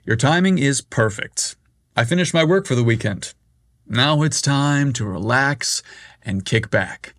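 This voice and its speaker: A man voicing a calm, reserved adult man who speaks in a relaxed, low-key way. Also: measured pace, no room sound, clean studio sound.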